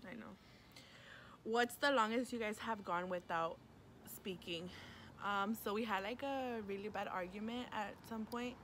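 A woman in her twenties co-hosts a casual vlog in a mid-pitched voice. She talks in slang with swearing and drops in Spanish. The outdoor recording has clear ambient noise. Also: unhurried, a bit bright. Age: 20s. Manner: slangy, profane, slips into Spanish. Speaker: vlog co-host. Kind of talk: casual chat answering questions. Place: outdoors by a parking area.

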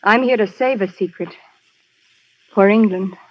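A woman's voice: medium pitch, unhurried, close to an everyday voice. Actress as a frightened, secretive woman spy. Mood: grave, insistent. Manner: earnest, urgent. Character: frightened, secretive female professional spy on the run. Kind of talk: 1940s radio acting. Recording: dull vintage radio broadcast.

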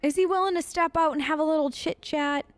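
A woman with a high voice chats her way through a role-play gaming video. She is polite and rambles as she goes, and the sound is close and dry. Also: steady tone, brisk, no room sound. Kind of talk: improvised role-play chat over a video game.

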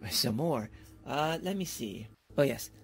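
An actor playing an eager, flattering, bumbling male professor in a theatrical old-time radio comedy style. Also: mid-range voice, a little slow, gravelly, breathy edge, soft background music, heavily acted. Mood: reciting grandly. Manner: theatrical, effusive, hesitant fillers.

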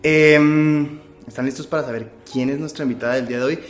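A young man with a northern Mexican accent hosts a home talk show, excited and full of casual slang. His voice sits mid-range for a man. The living room adds a clear, roomy echo. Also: medium pitch, fairly quick. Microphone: stand mic on coffee table.

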